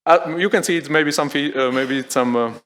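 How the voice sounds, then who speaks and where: A man's voice: on the high side, fairly quick, a bit bright. A man giving a conference tech demo, thinking aloud with many fillers and restarts. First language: Slovak. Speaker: conference speaker. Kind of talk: live technical demo talk. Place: conference hall.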